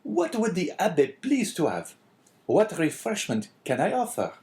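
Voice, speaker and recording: A man's voice: high-pitched, wildly swooping pitch, unhurried, darkish tone, pitched above the performer's own voice, full character voice. A man reading an audiobook, voicing a fawning, flustered middle-aged innkeeper who is eager to please. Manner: eager, bowing and apologetic. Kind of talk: audiobook character voice.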